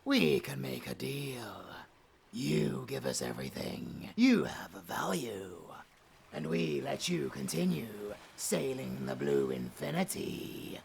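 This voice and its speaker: A performer in an audio drama voicing a swaggering, theatrical pirate captain, gravelly and taunting, dropping into breathy growls. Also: mid-range voice, huge pitch swings, takes it slowly, a little mellow, slight background noise, exaggerated voice. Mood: menacing. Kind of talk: cinematic audio roleplay acting.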